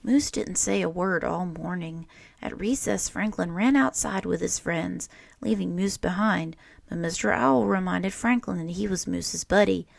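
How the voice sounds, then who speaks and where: Creaky-voiced woman reading a children's story. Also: fairly low pitch, no room sound. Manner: animated, deliberate storytelling with acted character lines. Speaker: teacher reading a picture book aloud. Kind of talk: expressive children's story read-aloud.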